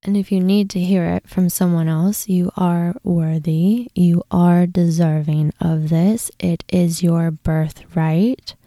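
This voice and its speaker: Slow, very mellow woman guiding meditation. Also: fairly low pitch, steady tone, no echo, studio quality. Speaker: guided meditation host. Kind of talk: guided meditation, soothing instruction.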